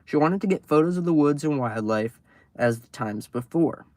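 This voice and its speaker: A man reading horror stories on a live stream, outdoors at night, casual and tripping over words, his voice going hoarse. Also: fairly high pitch, animated, moderate pace, warm tone, dry recording. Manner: swears freely, stumbles over words. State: losing his voice.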